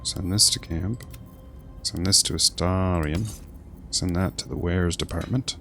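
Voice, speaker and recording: A man with a very deep, creaky voice gives casual commentary on a game he is playing. He talks in short, muttered asides, with game music playing clearly behind him. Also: unhurried, bright, forceful tone. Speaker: streamer playing a role-playing game. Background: video game music.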